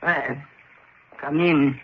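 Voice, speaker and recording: An actress playing a cross, suspicious hotel concierge with a gruff but grudgingly kind manner in a radio drama. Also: female voice, sits low, fairly even intonation, very slow, heavily acted. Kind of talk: old-time radio drama acting. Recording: muffled vintage radio broadcast, faint noise.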